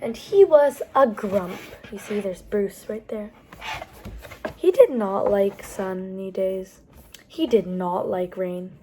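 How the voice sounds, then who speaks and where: A woman reading picture books aloud to young children as a storytime host, animated and pausing to explain things to the listeners. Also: mid-range voice, slight background noise, poor audio. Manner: animated, explains pictures and words as she goes.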